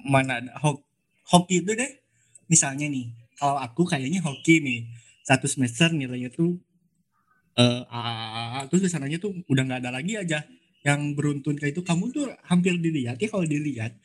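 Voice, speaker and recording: Man in his twenties, slightly high voice, podcast host, casual chat, informal and repetitive phrasing. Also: expressive, a little fast. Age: 20s. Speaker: podcast host, law student.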